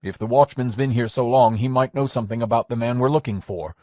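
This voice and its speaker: A man's voice: medium pitch, fast, darkish tone, dry recording, studio quality, natural voice. A man voicing an earnest, stubborn costumed hero.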